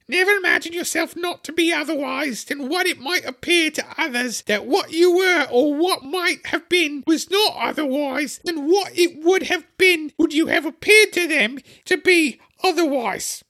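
A man voicing a fussy, moralizing older Duchess in a shrill falsetto, with a sing-song, drawn-out lecturing manner. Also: sharp tone, heavily acted. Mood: preachy. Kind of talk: audiobook character voice.

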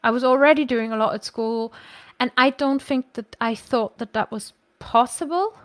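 A woman's voice: a little high, moderate pace, fairly bright tone, no room sound. A woman, chatting as a podcast guest and thinking aloud with frequent self-corrections. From Germany. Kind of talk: casual conversational storytelling.

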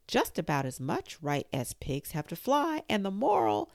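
Lady doing a preachy old duchess, high and singsong, swoops up and down a lot, bit gravelly. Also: huge pitch swings, moderate pace, no room sound, clean studio sound, exaggerated voice. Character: fussy moralizing old Duchess, woman.

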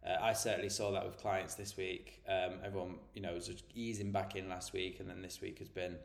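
A man's voice: low-pitched, flat delivery, fairly quick, vocal fry, roomy sound. A man, a health and nutrition coach, hosting a solo podcast and explaining things in a chatty, plain way while stuffed up from a cold.

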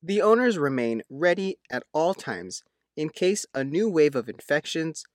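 A man's voice: fairly high pitch, very animated, measured pace, dry recording, studio quality. A man reading a written local news feature in a scripted narration style.

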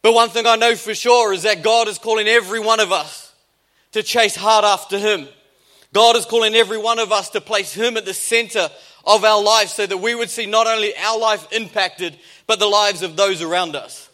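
A man's voice: sits high, pushed and bright. A man with a New Zealand accent, a pastor giving a conversational sermon with jokes and emphatic repetition.

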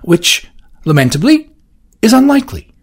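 Man voicing a formal, careful manager type, raised pitch, husky edge. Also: very animated, normal speed, full character voice.

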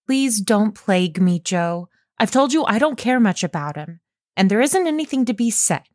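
A woman's voice: medium pitch, lively intonation, moderate pace, darkish tone, no room sound, studio quality, pitched above the performer's own voice, natural voice. Meg, a woman doing a shy demure young woman. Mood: protesting.

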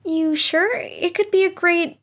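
A woman's voice: extremely high pitch, fairly even intonation, measured pace, a bit bright. A woman playing an earnest, nosy young woman, a little defensive, in an audio drama. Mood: probing, friendly.

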